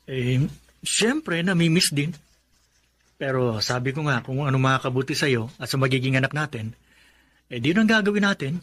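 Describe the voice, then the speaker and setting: An actor playing an earnest, dutiful young husband in a radio drama, gentle and sincere. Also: male voice, mid-range voice, expressive, moderate pace. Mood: reassuring, torn.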